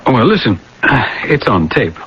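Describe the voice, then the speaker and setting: An actor playing a puzzled, insistent but patient psychiatrist, questioning precisely, in a 1980s radio drama. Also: male voice, mid-range voice, big pitch swings, slow pace, slight background noise. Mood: probing. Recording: dull, lo-fi old radio recording.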